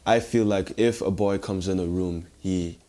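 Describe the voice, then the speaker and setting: A teenage man talking casually in a measured, hesitant way. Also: sits low, fairly even intonation, very noisy. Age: teens. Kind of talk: casual chat.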